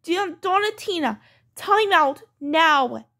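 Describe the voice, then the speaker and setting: A woman plays a strict, scolding mother in a homemade pretend-play skit. She has a very high, lively voice and speaks slowly. Her manner is firm and repetitive as she lays down the rules. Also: heavily acted. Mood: angry.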